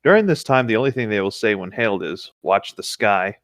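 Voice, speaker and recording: A man's voice: on the low side, animated, fairly quick, fairly bright tone, no room sound. A man reading a story aloud as co-host in a casual, conversational way, with dry asides.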